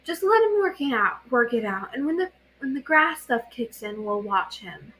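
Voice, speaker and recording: A woman's voice: fairly high pitch, sing-song, normal speed, fairly bright tone, slight background noise, rough recording. A woman voicing a caring but exasperated teenage girl healer, bossy and emphatic, in an amateur story reading. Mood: concerned, insistent.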